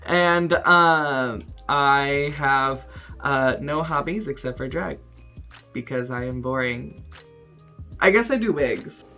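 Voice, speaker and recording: Man in his twenties, drag queen, casual tutorial chat, giggly and self-mocking, mid-pitched voice, faint music behind. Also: pushed and bright. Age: 20s. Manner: chatty and self-mocking, many ums and quick giggles. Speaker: drag queen makeup creator. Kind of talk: casual makeup tutorial commentary.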